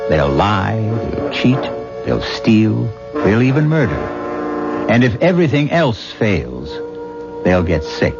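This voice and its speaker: Male narrator, low and animated, portentous vintage radio mystery host, music underneath. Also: creaky, muffled recording. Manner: wry, portentous, deliberate phrasing. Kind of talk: dramatic scripted narration, 1970s radio.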